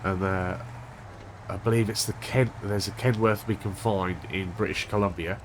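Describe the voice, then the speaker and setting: A man hosting a gaming channel, chatting casually over gameplay with a stuffed-up, unwell voice, thinking aloud with a monotone delivery. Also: on the low side, moderate pace, slight background noise. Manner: rambling, thinking aloud with restarts.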